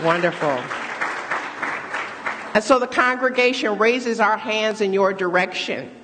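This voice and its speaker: A woman's voice: medium pitch, a little slow, a crowd in the background, noisy background. A woman leading a church service, speaking to the congregation with informal, playful asides. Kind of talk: warm-up announcements and call-and-response to a congregation. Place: large church hall, amplified to a congregation. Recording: echoey hall, loud music and congregation.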